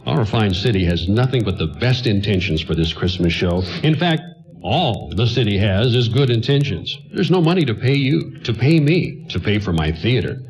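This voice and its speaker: Animated, pleading theater promoter. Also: male voice, on the low side, moderate pace, soft background music. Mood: apologetic, earnest. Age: middle-aged. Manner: earnest salesman, flustered under pressure. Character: pleading, flattering male theater owner and promoter. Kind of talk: radio play comedy acting. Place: stage before an audience.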